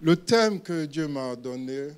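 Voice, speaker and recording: A male pastor with an Ivorian French accent, preaching a sermon in short phrases and pausing for his interpreter. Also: on the high side, expressive, clean tone. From Ivory Coast.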